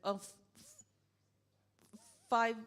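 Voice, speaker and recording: A woman speaking on a panel, hesitant with halting starts. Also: fairly bright tone.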